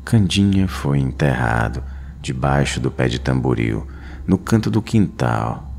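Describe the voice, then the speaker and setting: An extremely low, gravelly male voice with a Brazilian accent narrates a horror tale. He is solemn and slow, weighting short phrases for suspense over clear background music. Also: big pitch swings, darkish tone, a little hiss or hum. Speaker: storyteller. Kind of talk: dramatic scripted horror narration.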